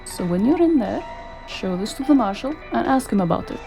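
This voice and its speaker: Actress as a higher-voiced, no-nonsense woman. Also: animated, creaky, music in the background. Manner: brisk, teasing, no-nonsense. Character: bossy, exasperated female partner of a noir investigator. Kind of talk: noir audio drama.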